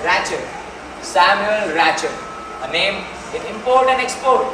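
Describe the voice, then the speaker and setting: A young man playing a gruff, secretive, pushy businessman in an amateur stage play, curt and domineering. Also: high voice, expressive, unhurried. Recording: distant mic in echoey noisy hall.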